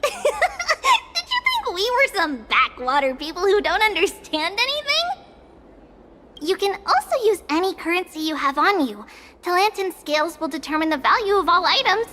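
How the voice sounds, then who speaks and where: A woman's voice: very high voice, sing-song, moderate pace, sharp tone, slight background noise, exaggerated voice. An actress playing a cheerful, playful young city guide in a video game, perky and teasing as she explains things. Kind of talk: video game character acting.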